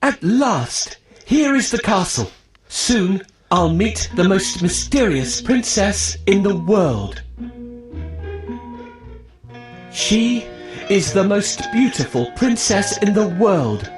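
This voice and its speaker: A man's voice: high voice, sing-song, takes it slowly, faint music behind, heavily acted. A man voicing an earnest, determined young prince in a children's storybook, with careful, declarative enunciation. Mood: hopeful. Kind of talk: scripted children's storybook acting.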